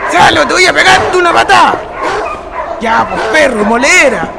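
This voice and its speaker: Male actor, irritable drunk Chilean villager, gravelly edge, radio play, noisy background with crowd. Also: very high voice, animated, normal speed, full character voice. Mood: angry, scolding. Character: jumpy, cursing drunk villager walking at night. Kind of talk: radio drama acting.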